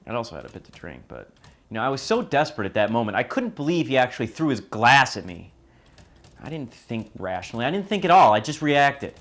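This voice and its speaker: An actor playing a desperate, broke adult son defending himself in a naturalistic confession. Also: male voice, mid-range voice, very animated, quick pace, fairly bright tone. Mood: defensive, agitated.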